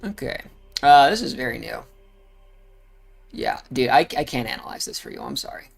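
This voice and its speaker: A man's voice: medium pitch, huge pitch swings, moderate pace, creaky, breathy edge. A man casually talking through crypto charts on a livestream, rambling with frequent fillers. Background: music playing.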